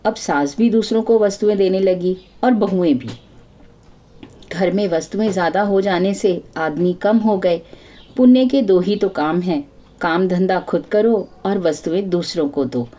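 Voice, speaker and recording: Mellow-voiced woman storyteller. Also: on the low side, unhurried. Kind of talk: scripted moral folk tale narration.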